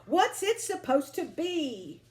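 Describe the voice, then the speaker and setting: A woman's voice: high voice, big pitch swings, takes it slowly, a little mellow, heavily acted. A woman voicing a dismissive, scolding parent in a children's storybook, with emphatic phrasing. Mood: chiding. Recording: roomy home recording with faint noise.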